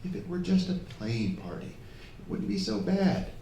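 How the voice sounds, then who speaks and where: A man's voice: high voice, big pitch swings, normal speed, vocal fry, warm tone, exaggerated voice. An actor playing a wry young man who grumbles sweetly to his fiancée in an old-time radio drama on stage. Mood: wry, grumbling. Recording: distant, echoey hall stage recording, faint noise.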